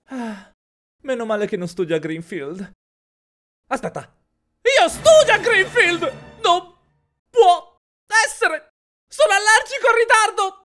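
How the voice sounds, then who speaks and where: A man's voice: extremely high pitch, very animated, a little slow, long pauses, bright, forceful tone, heavily acted. A man voicing a vain, panicky, melodramatic teenage schoolboy in an Italian fan cartoon dub. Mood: panicked, dramatic. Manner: theatrical, dramatic exclamations.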